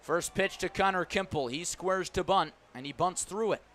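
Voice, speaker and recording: A man doing live baseball play-by-play on the radio, giving measured, detailed calls that swell on key plays. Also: high-pitched, fairly bright tone. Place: ballpark broadcast position.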